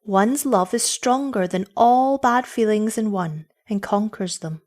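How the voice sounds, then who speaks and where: A woman voicing a gentle, loving mother who speaks tenderly and with quiet firmness. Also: medium pitch, a little slow, pitched above the performer's own voice, close to an everyday voice. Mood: gentle sadness. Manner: tender, soothing. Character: gentle loving mother of small children, sadly firm.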